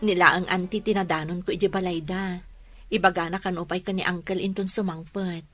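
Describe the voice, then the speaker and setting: A woman with an Ilocano accent playing an ailing but resolute mother in a radio drama, speaking earnestly in a plaintive, measured way. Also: lowish voice, fairly even intonation, fairly bright tone, faint music behind. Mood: worried, hopeful.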